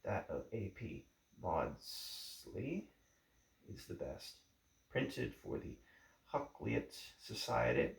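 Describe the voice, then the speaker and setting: Male amateur narrator, low gravelly voice, halting book reading in a roomy, echoing space. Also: sing-song. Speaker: amateur audiobook reader. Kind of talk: book introduction read aloud. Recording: roomy, echoing home recording.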